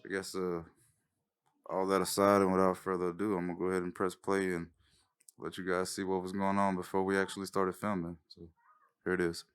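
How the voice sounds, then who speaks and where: Deep, smooth-voiced man, halting delivery. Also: monotone. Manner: hesitant, halting. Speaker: writer-director presenting a recording. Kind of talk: casual spoken introduction.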